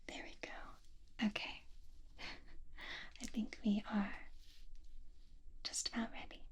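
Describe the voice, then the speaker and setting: A woman voicing a gentle, bookish young woman in an ASMR roleplay, whispering softly and reassuringly. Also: medium pitch, little pitch movement, takes it slowly, breathy edge. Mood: tender whisper.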